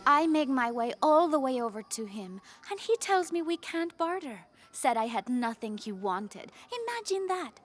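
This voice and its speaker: Haughty, indignant shopper, lively and fairly high, game role. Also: female voice, normal speed, few pauses. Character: indignant, haughty market customer. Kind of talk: video game acting.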